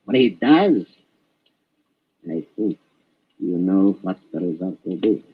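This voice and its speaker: A man playing a menacing, commanding mad scientist villain with a husky voice, in 1940s radio drama style. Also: sits low, big pitch swings, takes it slowly, full character voice. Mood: gloating menace. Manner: menacing, theatrical, emphatic. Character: gloating mad scientist villain, commanding, panicky when cornered. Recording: dull, lo-fi 1940s radio recording.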